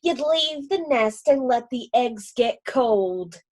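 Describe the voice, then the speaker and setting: A woman voicing an impatient, bossy female farm duck in a storybook reading, scolding in a raised, higher voice. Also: expressive, slow, darkish tone.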